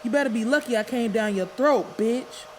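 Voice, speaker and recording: Woman performing cheating man, scornful, blunt, commanding, crude insults, raised pitch, audiobook, background noise. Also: moderate pace, natural voice.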